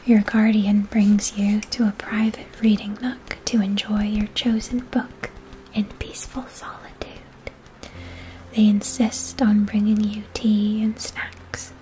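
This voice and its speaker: Whispering, slow-paced ASMR woman. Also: medium pitch, little pitch movement, poor audio. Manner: gentle, unhurried, soothing, careful enunciation. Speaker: ASMR roleplay performer. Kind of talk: whispered ASMR, second-person fantasy story with choices.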